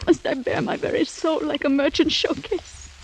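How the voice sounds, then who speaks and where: A woman's voice: sits high, steady tone, moderate pace, vocal fry, slight background noise, rough recording. An actress playing an anguished but proud young French noblewoman, pleading formally and melodramatically in an old-time radio drama. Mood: proud, earnest. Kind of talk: 1940s-50s American radio drama acting.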